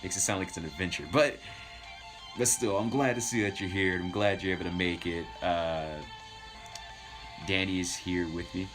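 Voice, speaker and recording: A man's voice: low voice, normal speed. A man chatting casually as a livestream host, rambling as he tells stories and talks to his viewers. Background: background music.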